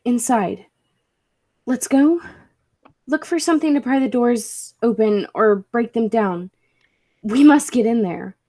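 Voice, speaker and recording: A woman's voice: on the high side, unhurried, pauses often, mellow. A woman playing a proud, driven inventor and time traveler in a 1940s-style radio play, speaking in formal, theatrical phrases. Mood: frantic, angry.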